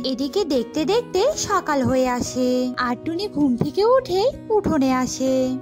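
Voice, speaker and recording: A woman narrator tells a children's cartoon tale in a high, animated voice. Her pitch moves a lot, and music plays underneath. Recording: music behind the voice.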